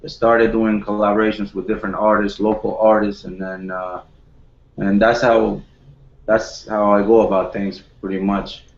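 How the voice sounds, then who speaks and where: A man in his twenties from the Dominican Republic, a home studio producer chatting as a guest, modest and halting with many restarts. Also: fairly low pitch, little pitch movement, roomy sound, highs cut off. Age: 20s. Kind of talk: casual video call chat.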